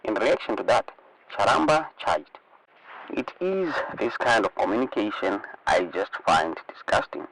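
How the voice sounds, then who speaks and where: A man's voice: fairly low pitch, big pitch swings, unhurried, fairly bright tone, far from the mic, a little hiss or hum, rough recording. A Zimbabwean man whose native language is Shona, presenting online news commentary and reading reports aloud.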